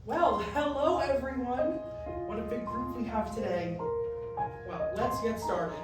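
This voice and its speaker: A woman's voice: on the high side, measured pace, lightly acted. A young woman playing an earnest, rambling, self-deprecating male college student in a staged musical reading. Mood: upbeat, presenting. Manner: hesitant, self-deprecating, chatty. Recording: very echoey room, far mic, music.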